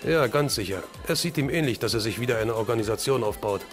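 A man's voice: mid-range voice, lively intonation, fast, soft background music, close to an everyday voice. A man playing a determined, serious crime-fighting hero in an audio drama. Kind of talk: German fan audio drama acting.

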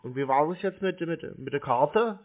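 A man's voice: a little high, animated, normal speed. A man chatting casually on the phone, playing naive and asking teasing questions. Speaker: prank call taker baiting phone scammers. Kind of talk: casual phone prank chat. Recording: muffled, narrow-band phone call.

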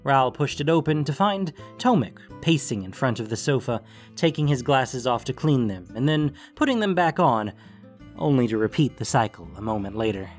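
A man's voice: mid-range voice, sing-song, normal speed. Male story narrator over guitar music. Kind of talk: scripted audiobook-style fiction narration. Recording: music bed under the voice.

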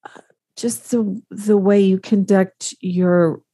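A middle-aged woman hosting a podcast over a video call, enthusiastic and encouraging as she asks questions. Her pitch sits in the usual middle range for a woman. The sound is dry and close. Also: steady tone, slow pace, warm tone, husky, dry recording. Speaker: podcast host. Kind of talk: casual interview on a video call.

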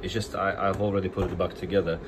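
Low-voiced male repair tech, stuffed up with a cold, walks through a bench test plainly, audible room noise. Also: flat delivery, fast. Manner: plain, explanatory, some restarts. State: has a cold. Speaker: phone repair technician. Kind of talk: casual hands-on repair demo. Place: repair workbench indoors. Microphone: phone camera held over bench.

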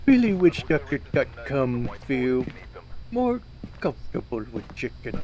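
A man's voice: medium pitch, very animated, measured pace. A man chatting casually and jokingly while playing a horror game, swearing now and then. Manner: joking, swearing, playfully chanting. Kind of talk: casual gaming chat and commentary. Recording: clear noise behind the voice.

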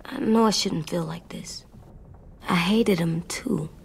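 A woman playing an anxious, stubborn young boy in a dramatic video game, speaking earnestly in halting, childlike phrases. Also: lowish voice, vocal fry, slightly rough, exaggerated voice. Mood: troubled, confiding.

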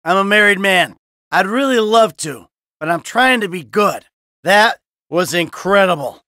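A man's voice: high voice, animated, measured pace, bright, forceful tone, dry recording, clean studio sound, heavily acted. A man voicing a cynical, brash middle-aged American criminal in a video game, sarcastic and foul-mouthed. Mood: smooth, persuasive. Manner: sardonic, brash, swearing.

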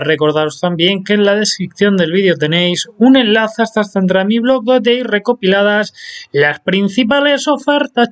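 Young male host with a Spain Spanish accent, high and smooth, upbeat sales-pitch delivery over a screen recording. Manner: upbeat promotional sales patter. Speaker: deals channel host. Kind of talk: screen-recorded product walkthrough.

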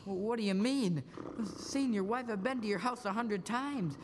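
A man's voice: high-pitched, moderate pace, background noise, lightly acted. A young man playing a frantic, bewildered family man who stammers, in a live radio play. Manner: agitated, stammering. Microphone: stand microphone on stage. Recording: reverberant school auditorium stage.

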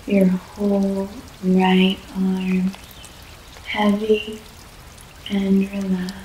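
A woman guides a yoga nidra session at a very slow, soothing pace, leaving long pauses between short phrases. Her voice stays flat and even throughout. The recording has a roomy echo with faint noise underneath. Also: mid-range voice. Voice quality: soft, soothing, unhurried. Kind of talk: guided relaxation meditation. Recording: echoey room, faint background noise.

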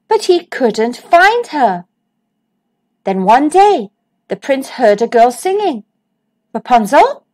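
A woman reads a fairy tale aloud for children, her voice high and light. She takes her time over every phrase, and her pitch rises and falls in a lilting, sing-song way. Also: a little mellow. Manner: slow, sing-song storytime delivery. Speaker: storyteller, channel host. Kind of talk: reading a picture book aloud to children.

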